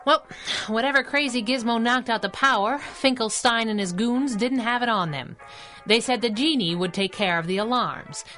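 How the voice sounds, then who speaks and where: A woman's voice: on the high side, moderate pace, a bit bright, soft background music, natural voice. A woman playing a sassy young crimefighting sidekick in a 1930s-style radio serial, breezy and wisecracking with period slang. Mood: brisk, cheeky.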